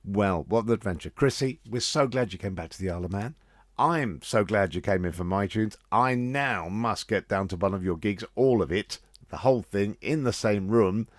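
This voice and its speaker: A man hosting a radio interview in a studio, asking chatty, unhurried questions. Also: fairly low pitch, no echo. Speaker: radio show host. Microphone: studio microphone.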